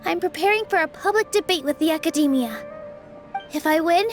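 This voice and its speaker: A woman playing a gentle, earnest young female dancer in an English anime game dub, with a soft and sincere manner. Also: very high-pitched, a little fast, soft background music. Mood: earnest, reassuring.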